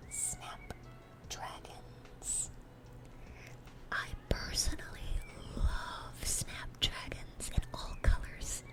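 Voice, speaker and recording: A woman playing a dorky, smitten young demon girl in a gentle, rambling, affectionate ASMR roleplay. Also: close to an everyday voice. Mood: tender, affectionate.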